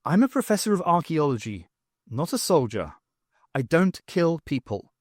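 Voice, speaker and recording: A man voicing a quick-witted woman archaeology professor, wry and commanding, in an audiobook. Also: on the high side, big pitch swings, no echo, clean studio sound.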